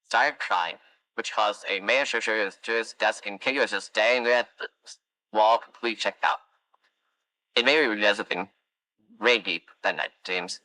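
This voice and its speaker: A man's voice: medium pitch, sharp tone, dry recording, lightly acted, rough recording. A man voicing a chatty animatronic who tells long, wandering stories in a game. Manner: long-winded, wandering anecdotes, dry musings. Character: chatty rambling animatronic, wistful storyteller. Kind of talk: fan game voice lines.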